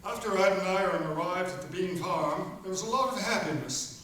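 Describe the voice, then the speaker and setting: A man's voice: sits high, a little fast, sharp tone. A man playing a genial author who narrates his animal stories in a scripted radio play. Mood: genial explaining. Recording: echoey hall, distant, faint noise.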